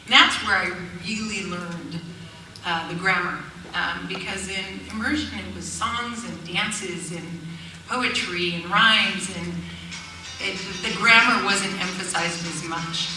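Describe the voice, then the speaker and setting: Bright-voiced woman in an echoey hall. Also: lowish voice, slow, faint music behind. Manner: earnest, fillers and restarts. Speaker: panelist, immersion teacher. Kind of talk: panel talk. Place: event hall. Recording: echoey hall, far from mic.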